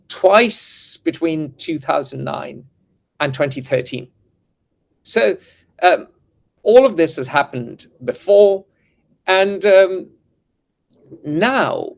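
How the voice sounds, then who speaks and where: A man's voice: on the high side, gravelly. A man hosting a geopolitical discussion, lecturing and full of historical asides. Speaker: host and editor.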